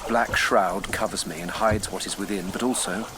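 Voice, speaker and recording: A man's voice: deep voice, fairly even intonation, normal speed, close to an everyday voice. An actor playing a grave, guarded statesman, speaking formally in a period radio drama. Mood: ominous. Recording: noisy water ambience behind.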